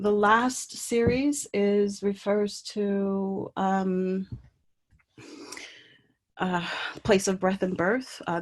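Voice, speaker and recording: A woman from Puerto Rico, an artist walking through slides of her work in an online talk, speaking hesitantly with many ums and restarts over a video call mic. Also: medium pitch. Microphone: computer mic on a video call.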